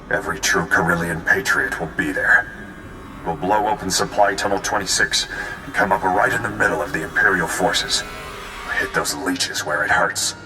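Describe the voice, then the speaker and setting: An actor playing a defiant, plain-spoken guerrilla rebel leader and former police captain in a video game. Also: male voice, low-pitched, full character voice. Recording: echoey voice under loud music. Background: loud game music.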